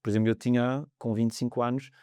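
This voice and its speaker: A man's voice: medium pitch, level tone, quick pace, warm tone, clear, resonant tone, no echo, clean studio sound. A man in his thirties from France with a French accent, a guest on a podcast interview, talking in a hesitant way with many fillers. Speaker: mortgage broker guest.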